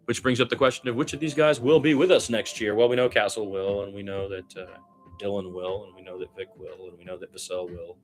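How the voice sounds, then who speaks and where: A man giving live basketball commentary as an amateur radio announcer, animated on the plays and wry and musing in his casual, rambling asides. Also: fairly low pitch, fairly quick, soft background music.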